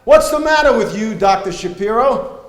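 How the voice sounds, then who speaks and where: Man acting a high-pitched, agitated male therapy patient. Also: animated, moderate pace. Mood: indignant. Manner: dramatic, swinging from hushed confiding to loud outrage. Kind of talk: stage play acting. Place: indoor stage, live performance. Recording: echoey theatre stage, faint noise.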